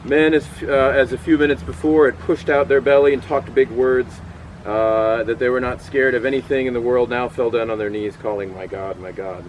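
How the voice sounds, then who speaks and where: A middle-aged man giving a first-person historical portrayal outdoors, telling his story with frequent pauses and fillers. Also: medium pitch, steady tone, a little hiss or hum, natural voice, poor audio. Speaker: historical portrayer at a cemetery event. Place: outdoors in a cemetery. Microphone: far camera.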